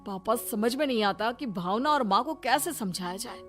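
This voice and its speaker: A woman's voice: fairly high pitch, animated, moderate pace, natural voice. A woman voicing an earnest, plain-spoken young married son in a cartoon moral story. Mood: puzzled, earnest. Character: young married son, puzzled and conspiring with father. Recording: music bed behind voice.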